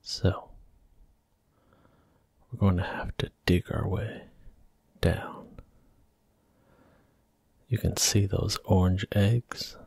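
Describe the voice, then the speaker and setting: A man giving soft-spoken, gentle ASMR commentary while he plays a video game. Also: low-pitched, fairly even intonation, extremely slow, creaky, pauses often, husky, no room sound, studio quality. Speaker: ASMR gaming host. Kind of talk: whispered ASMR gameplay commentary.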